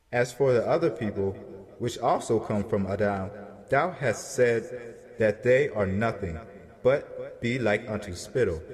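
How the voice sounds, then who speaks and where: A man narrating scripture as a drama, in a solemn, deliberate, declamatory manner. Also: mid-range voice, a little mellow. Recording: long reverb with music behind.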